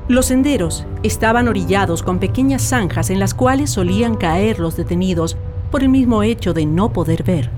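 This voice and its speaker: A woman with a Chilean accent reading formal scripted narration as the narrator of a radio documentary drama. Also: mid-range voice. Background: music.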